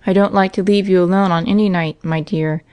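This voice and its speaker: A woman's voice: lowish voice, steady tone, a little fast, darkish tone, smooth, dry recording, lightly acted. A woman voicing a kindly, reassuring family father in a storybook reading. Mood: grave, tender. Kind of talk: storybook character voice.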